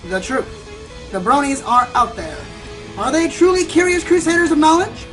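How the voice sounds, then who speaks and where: A woman playing a cynical, worldly-wise pony news reporter in a radio play, brisk and condescending. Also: sits high, very animated. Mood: theatrical, smug. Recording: roomy, off-mic, faint music behind.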